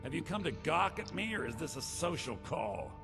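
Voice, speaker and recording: A man's voice: a little high, very animated, moderate pace, a bit bright, soft background music. An actor playing a spoiled, lecherous young rich man, a glib and entitled schemer sitting in jail, in a fantasy video game. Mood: smug, wheedling. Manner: glib, entitled, self-pitying.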